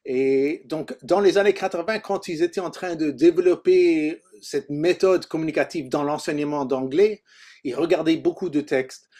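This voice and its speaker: A man from Canada, a native English speaker with a mid-Atlantic English accent in his French, leading an informal teaching workshop and often pausing to search for words. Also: on the high side, warm tone. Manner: halting, searching for words in a second language.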